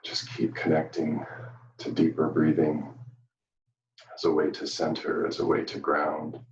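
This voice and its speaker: A man guides a meditation in a very low, even-toned voice. He speaks gently and soothingly, leaving long pauses between phrases. The sound is roomy, as if the microphone sits some way off. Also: gravelly. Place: indoor room, live virtual event. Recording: dull, roomy, distant microphone.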